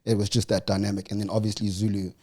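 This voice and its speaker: A man's voice: on the low side, level tone, quick pace, dark tone. A young South African man chatting casually on a banter podcast, laid-back and slangy, laughing often. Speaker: podcast co-host.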